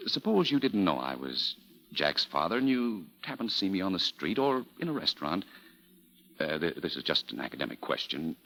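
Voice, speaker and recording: An actor playing a suave gentleman of fifty, formal and polite, in 1940s radio drama style. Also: low-pitched, big pitch swings, brisk, exaggerated voice, low-quality recording. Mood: reserved, polite. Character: suave, courtly fifty-year-old gentleman, an aging charmer. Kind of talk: 1940s radio acting, with first-person narration. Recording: vintage 1940s radio broadcast.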